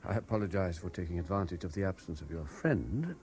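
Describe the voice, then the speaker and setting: A man's voice: low-pitched, expressive, fast, a little mellow. An actor playing an intense, haunted Frenchman obsessed with a lost love, insistent and brooding, in 1970s radio drama style. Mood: polite, insistent.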